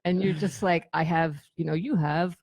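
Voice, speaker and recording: A woman's voice: fairly low pitch, fairly even intonation, moderate pace, a little mellow, no echo. A middle-aged woman chatting casually as a guest, telling anecdotes in an informal, sweary way and acting out bits of dialogue. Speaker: guest, former band tour manager.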